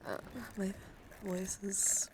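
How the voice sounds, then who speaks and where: A woman speaks softly and affectionately as an ASMR streamer, slipping often between a hushed voice and whispers. Her mid-pitched voice has plenty of fry and a slight just-woke-up strain. She sounds close to the microphone, with noticeable noise behind her. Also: completely flat delivery, takes it slowly. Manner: gentle, soothing, affectionate. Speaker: ASMR livestream host. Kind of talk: soft ASMR, chatting to live viewers.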